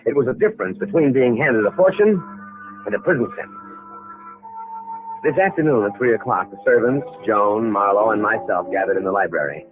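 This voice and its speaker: A scheming impostor heir from a vintage radio thriller, played by a male actor, speaks on a tinny old broadcast with music. His low voice swings widely in pitch as he confides his calculations with nervy cunning. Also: animated, fairly quick, close to an everyday voice. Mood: anxious, calculating narration. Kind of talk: old-time radio thriller acting and first-person narration.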